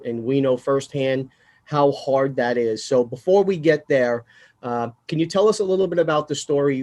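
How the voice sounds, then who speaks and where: Man hosting a podcast, mid-pitched. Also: a little mellow. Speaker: podcast host. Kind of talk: conversational podcast interviewing.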